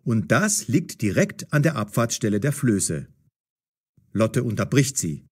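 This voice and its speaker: A man narrating a scripted audio story as storyteller, with a husky voice. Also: on the low side, big pitch swings, measured pace, darkish tone.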